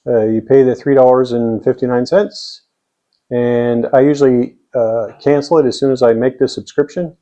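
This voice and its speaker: A man with an American accent talks in a casual, plainspoken explainer style, taking things one step at a time. His voice is mellow and relaxed, at a medium pitch for a man. The recording is a little dull and lo-fi. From United States. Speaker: vlogger. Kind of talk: casual explainer to camera or screen.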